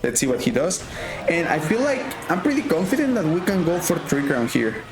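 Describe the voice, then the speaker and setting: A man's voice: on the high side, sing-song, brisk, roomy sound, music in the background. A man from Mexico with a Mexican Spanish accent, casually commentating his own gameplay and thinking aloud with frequent fillers. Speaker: gaming YouTuber.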